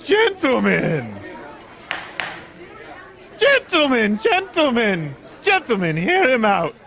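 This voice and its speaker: A man's voice: extremely high pitch, huge pitch swings, slow, fairly bright tone, a faint crowd, heavily acted. A man playing a pompous, declamatory council chairman in an old-time radio drama. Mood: commanding. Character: pompous council chairman calling for order, dismissive.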